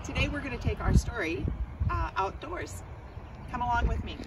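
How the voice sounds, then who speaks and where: A woman hosting an outdoor read-aloud for children, speaking to them with deliberate, expressive enunciation. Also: a little high. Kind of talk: children's story read-aloud and friendly outdoor talk to kids. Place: outdoors on a grassy trail. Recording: outdoor ambient noise.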